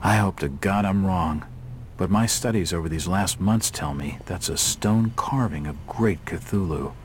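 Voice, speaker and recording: Hardboiled game detective, low dry understated voice, tired, noisy background. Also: male voice, a little slow. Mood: grim, uneasy. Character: weary, wry hard-boiled male private investigator. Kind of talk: video game cutscene acting.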